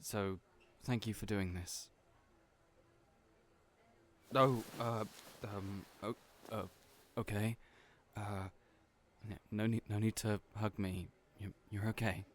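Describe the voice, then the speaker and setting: Soft-spoken, hesitant low-voiced man. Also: steady tone, takes it slowly, long pauses. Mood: gentle. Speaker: audio roleplay voice actor. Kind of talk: scripted audio roleplay.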